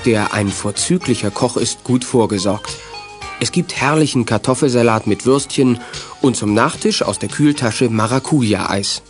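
A man's voice: mid-range voice, natural voice. A man narrating a children's adventure radio play as a scripted storyteller.

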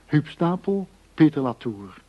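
A man's voice: on the high side, sing-song, measured pace. A man reading scripted credits as the announcer of a radio drama. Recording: dull lo-fi radio broadcast recording.

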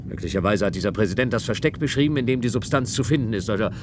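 An actor playing a dutiful, rule-bound official in a science-fiction radio play, speaking formal in an insistent, lecturing way. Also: male voice, animated, very fast, lightly acted. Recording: noisy radio play with engine rumble.

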